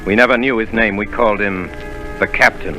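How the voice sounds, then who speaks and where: A man voicing a grown man who recalls his boyhood adventure, in measured 1930s radio drama narration. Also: on the low side, fairly even intonation, pushed and bright, soft background music, low-quality recording. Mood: earnest. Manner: measured, storytelling delivery. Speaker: narrator of the tale. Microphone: radio studio microphone.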